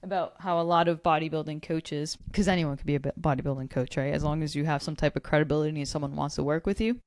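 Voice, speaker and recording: Casual young woman, low-pitched, dry sound. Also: quick pace, darkish tone, no echo. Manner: casual, quotes people in voices, says like often. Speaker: podcast co-host. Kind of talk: casual chat.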